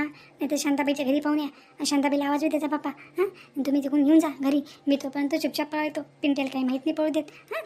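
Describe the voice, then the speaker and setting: High-voiced, hushed village mother acted by a woman. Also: lightly acted. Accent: rural Maharashtrian. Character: anxious village mother hushing up a family secret. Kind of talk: Marathi comedy cartoon dialogue.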